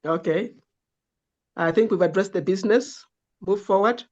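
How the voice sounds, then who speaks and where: A woman's voice: mid-range voice, normal speed, darkish tone, dull audio. Woman moderating a class. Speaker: lecturer moderating student presentations. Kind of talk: online class moderation and commentary.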